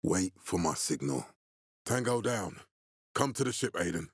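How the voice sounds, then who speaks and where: Game militia commander: male, low husky voice, stern, measured, fatherly authority, dry close sound. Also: lively intonation, no echo, full character voice. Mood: commanding, resolute. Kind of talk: video game voice acting.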